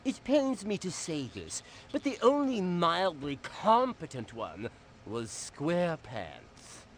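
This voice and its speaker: An actor voicing a haughty, easily offended gourmet food critic in a cartoon video game, drawing out disdainful words. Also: male voice, on the high side, very animated, slow, slight background noise.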